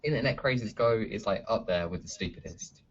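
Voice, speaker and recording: A man calmly explaining an internet trend in a casual podcast chat over an online call. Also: lowish voice, brisk, a crowd in the background. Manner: relaxed, explanatory. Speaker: podcast co-host. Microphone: computer mic on an online group call. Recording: dull lo-fi online call audio.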